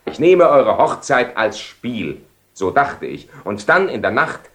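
An actor playing a proud, defiant young bridegroom in a radio drama, earnest and declamatory. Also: male voice, medium pitch, big pitch swings, a little slow, fairly bright tone. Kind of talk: 1970 German radio drama acting.

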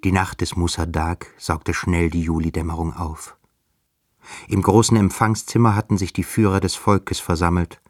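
The grave narrator of a radio drama. His voice is low and he reads literary prose as a scripted account. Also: flat delivery, moderate pace, no echo, clean studio sound. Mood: grave, measured.

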